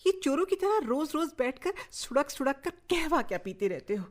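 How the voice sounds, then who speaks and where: An actress playing a fiery, proud, sharp-tongued woman in a radio drama, bossy in her manner. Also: high voice, big pitch swings, normal speed. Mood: impatient, defiant. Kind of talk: Hindi-Urdu radio drama acting.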